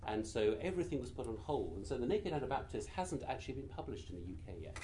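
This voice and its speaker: A man with a British accent and a naturally quiet voice, giving an informal talk to a group in a gentle, measured way with frequent small hesitations. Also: medium pitch, big pitch swings, slight background noise. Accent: British English. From Britain. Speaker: guest speaker.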